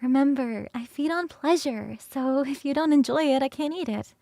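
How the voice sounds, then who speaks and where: A woman voicing a playful, hungry and affectionate succubus roommate in an ASMR roleplay, coaxing and teasing with sighs and soft, breathy turns. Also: high-pitched, dry recording. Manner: coaxing, sighing, drawn-out savouring, shifting into soft whispers.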